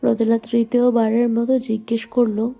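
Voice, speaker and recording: Female storyteller for romantic audio fiction: very mellow tone, higher register, light music bed. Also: little pitch movement, normal speed, gravelly. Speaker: narrator. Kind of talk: scripted romantic story narration. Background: faint music.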